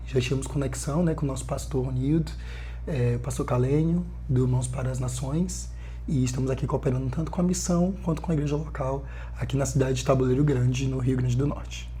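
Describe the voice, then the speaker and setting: Earnest young missionary man, mellow voice. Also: mid-range voice, brisk, a little hiss or hum. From Bahia, Brazil. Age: 20s. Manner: earnest, lively storytelling with frequent fillers. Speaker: missionary guest. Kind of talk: casual podcast conversation.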